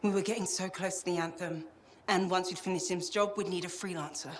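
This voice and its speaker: A woman's voice: lowish voice, steady tone, fairly quick, slight background noise, close to an everyday voice. An actress playing a determined, frank woman in a video game drama, speaking in a pointed, insistent way. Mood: accusing.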